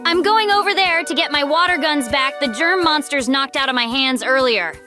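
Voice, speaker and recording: A woman voicing a spirited, excitable young girl who exclaims eagerly in a comic dub. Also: high-pitched, normal speed, music in the background, close to an everyday voice. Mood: hurried.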